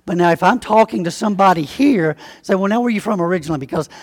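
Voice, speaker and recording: A Southern-accented pastor preaches in a relaxed, folksy way, slowing to lean on key words. His man's voice sits high with a husky edge. It rings out with a long reverb, as if in a large church. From Alabama, US. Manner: folksy, conversational preaching with slow emphatic spelling-out. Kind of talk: sermon.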